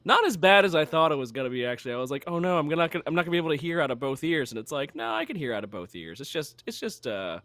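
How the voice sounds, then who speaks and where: A man co-hosting a casual chat, his voice a little raspy and hoarse from a cold, talking in a wry, self-deprecating way. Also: on the high side, very animated, racing, hardly any pauses, fairly bright tone, no echo. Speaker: podcast co-host.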